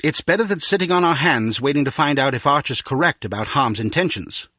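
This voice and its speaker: An actor playing a grave, authoritative spy agency chief in a video game, speaking in a measured, formal, resolute manner. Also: male voice, a little high, expressive, pushed and bright, no echo, studio quality. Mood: grave resolve.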